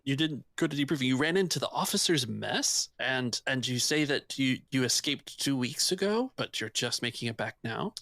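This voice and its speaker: A man's voice: mid-range voice, fairly bright tone, no echo, clean studio sound. A man playing a stern, suspicious military lieutenant with a formal, officious manner in a tabletop game.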